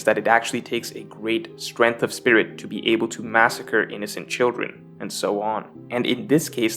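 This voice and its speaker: A man delivering scripted, analytical video essay narration with measured, emphatic phrasing. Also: on the low side, a bit bright. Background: guitar music.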